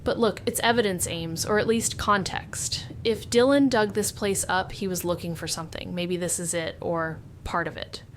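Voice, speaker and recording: Actress doing noir detective woman, moody and steady, dry delivery, bit of low rumble behind. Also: lightly acted. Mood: dry, weary. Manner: brooding, deliberate narration, dry understated dialogue. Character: adult female amateur sleuth and noir narrator, introspective, steady. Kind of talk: neo-noir audio drama narration and dialogue.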